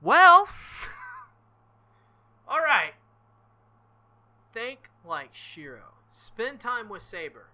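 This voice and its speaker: A young man reading a visual novel aloud and commenting, animated and thinking out loud. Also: very high voice, drawn-out words, long pauses. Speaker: let's play host.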